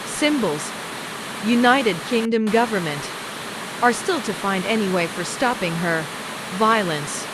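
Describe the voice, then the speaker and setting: A woman reading a scripted emergency broadcast announcement as its announcer. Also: medium pitch. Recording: loud hiss and rushing noise throughout.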